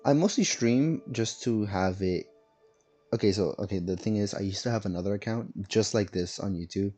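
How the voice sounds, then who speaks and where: A man's voice: lowish voice, normal speed, mellow, faint music behind. A man casually chatting while streaming a game, rambling with lots of fillers and self-corrections. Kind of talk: casual gaming stream chat. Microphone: laptop streaming setup.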